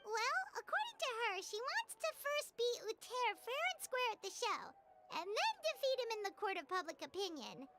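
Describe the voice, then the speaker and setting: A chirpy tiny game fairy, squeaky high, with swooping lively pitch. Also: female voice, normal speed, loud music behind the voice. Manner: bubbly, speaks of herself in third person. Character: tiny floating fairy companion, chirpy and excitable. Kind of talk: video game voice acting.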